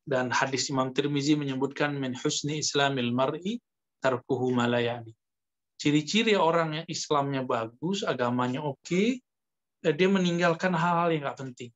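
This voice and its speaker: A man teaches religion in a slightly high voice. He explains point by point in a conversational, halting preacher's style, pausing often. The recording is somewhat muffled and lo-fi. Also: fairly bright tone. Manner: explanatory, halting, with pauses and Arabic quotations. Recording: dull lo-fi lecture recording.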